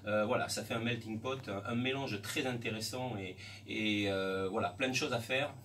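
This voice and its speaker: A middle-aged French man, a school principal, speaking in a radio interview in a measured way, often pausing and restarting. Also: lowish voice, a bit bright. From France. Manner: measured, hesitant with many restarts. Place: radio studio. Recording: distant mic with faint background noise.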